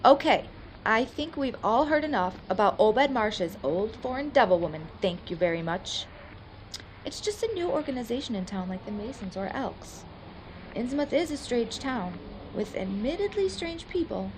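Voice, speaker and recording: A woman's voice: on the high side, sing-song, normal speed, a little hiss or hum. A woman acting as a skeptical, prim university academic in a radio play, with a firm, articulate, lecturing manner. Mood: insistent, lecturing.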